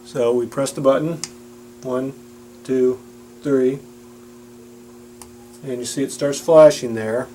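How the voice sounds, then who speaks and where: A man's voice: mid-range voice, fairly even intonation, slow, long pauses, warm tone, rough recording. A man giving a plain-spoken, instructional product demonstration. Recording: audible background noise with hum.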